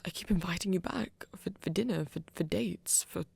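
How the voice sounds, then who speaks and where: A performer playing a flirty, earnest vampire in an ASMR romance roleplay, speaking haltingly and intimately in a husky, often half-whispered voice. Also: mid-range voice, a little mellow, no echo. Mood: flustered, tender. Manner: hesitant, halting, breathy and intimate. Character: flirty, earnest vampire confessing love, soft-spoken.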